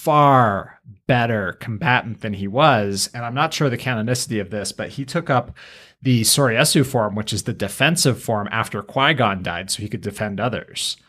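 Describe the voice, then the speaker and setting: Man, lowish voice, casual podcast co-host, fan commentary, wryly colorful phrasing. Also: normal speed, a bit bright. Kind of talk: casual chat, pop-culture commentary.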